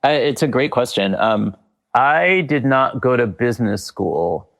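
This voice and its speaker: A man's voice: medium pitch, animated, unhurried, fairly bright tone. A middle-aged man being interviewed, speaking thoughtfully with frequent fillers and restarts. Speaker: guest, leadership consultant. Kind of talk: reflective conversational interview.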